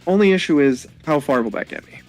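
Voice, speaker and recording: A man's voice: on the high side, animated, quick pace, faint music behind. A twenty-one-year-old man talking casually about his game strategy, tired and hesitant, stumbling over his words. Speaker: player in a online game contest.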